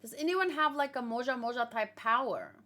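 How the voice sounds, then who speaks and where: A woman hosting a read-along, chatting casually with viewers and thinking aloud, with hesitant pauses and musing hums. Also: a little high, animated, sharp tone. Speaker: host of a manga read-along reaction show.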